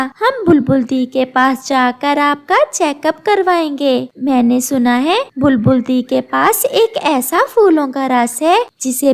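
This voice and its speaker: High-pitched, caring sparrow friend, voiced by a woman. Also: full character voice. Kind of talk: Hindi moral-story cartoon dialogue.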